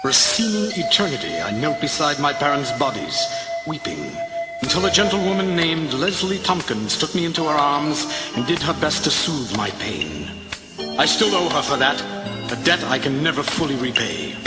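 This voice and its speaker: A man's voice: fairly high pitch, very animated, moderate pace. An actor playing a brooding grown hero, solemnly narrating his childhood grief in a dramatic first-person style. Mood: sorrowful. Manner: solemn, earnest. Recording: roomy voice under loud music.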